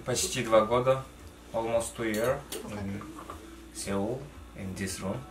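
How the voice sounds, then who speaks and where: A man introducing himself at a party, halting and playful, laughing often. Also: on the low side, fairly even intonation. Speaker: party guest. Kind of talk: casual chat. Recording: echoey room, loud background noise.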